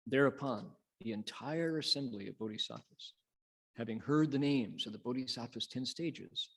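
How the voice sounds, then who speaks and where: A man reciting and teaching Buddhist scripture as a monk, in a measured, dramatic storytelling manner with pauses. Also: mid-range voice, breathy edge. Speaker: Buddhist monk, sutra lecturer. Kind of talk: sutra recitation and dharma lecture.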